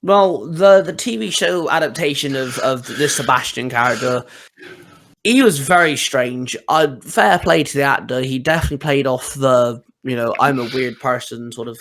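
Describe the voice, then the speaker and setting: A man's voice: mid-range voice, normal speed, a bit bright. Male podcast host, mid-range voice, casual conversational questioning and commentary.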